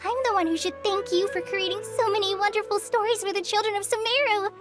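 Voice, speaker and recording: Earnest, high-voiced young ranger girl. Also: fairly even intonation, quick pace. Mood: modest, grateful. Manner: polite, bashful, slightly hesitant. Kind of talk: video game character acting. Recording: music behind the voice.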